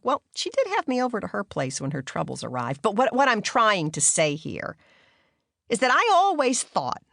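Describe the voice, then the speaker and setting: A woman's voice: low voice, vocal fry, a bit bright, no echo, full character voice. An actress plays an older small-town mother in a stage monologue. She is blunt and gossipy, wandering through her judgments and correcting herself as she goes. The sound is very dry, with no room on it. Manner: rambling, self-correcting, judgmental storytelling. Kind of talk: theatrical monologue in character.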